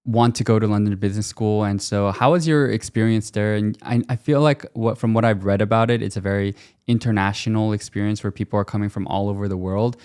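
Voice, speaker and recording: A man's voice: fairly low pitch, fairly even intonation, fairly quick, hardly any pauses, a little mellow, clear, resonant tone, dry recording. Young male podcast host, dry close mic. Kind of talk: interview host with scripted ad read.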